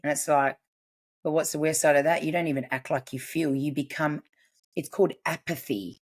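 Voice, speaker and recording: A woman's voice: deep voice, steady tone, slightly rough. A woman, a family constellations practitioner and podcast guest, explaining her ideas earnestly in casual chat. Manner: earnest, explanatory, quick bursts.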